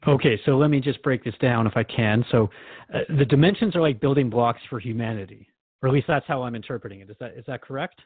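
A man's voice: mid-range voice, quick pace, husky, dry recording, narrow, tinny audio. A man hosting a radio interview, conversational and inquisitive, thinking aloud as he asks. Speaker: radio show host interviewer.

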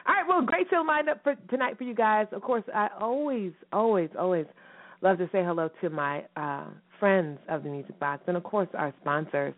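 A woman hosting a radio show in a lively, chatty way, her voice slightly hoarse and raspy from a recent cough. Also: medium pitch, moderate pace. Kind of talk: casual radio chat. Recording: phone-line call-in radio.

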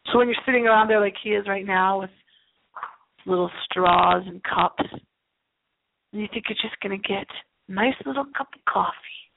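A woman's voice: mid-range voice, moderate pace, vocal fry, pauses often, a little hiss or hum. A middle-aged woman hosting a late-night horror movie radio show, rambling theatrically and repeating her phrases. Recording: thin, phone-like lo-fi sound.

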